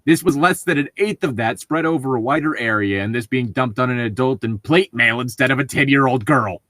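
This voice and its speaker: A man's voice: medium pitch, fairly quick, a bit bright, no echo, studio quality. A man co-hosting a podcast, chatting casually and reading stories aloud. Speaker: podcast co-host.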